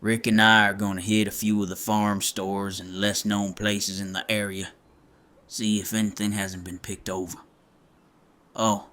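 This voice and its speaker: An actor playing a gruff, plain-spoken male survivor in an audio drama, with a blunt, folksy manner. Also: fairly low pitch, sharp tone.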